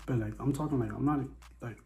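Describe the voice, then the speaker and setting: A man's voice: mid-range voice, fast talker, creaky, a little mellow. A man with an American accent giving casual opinions, emphatically repeating his phrases for stress, with faint music behind him. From Bahamas and the U.S.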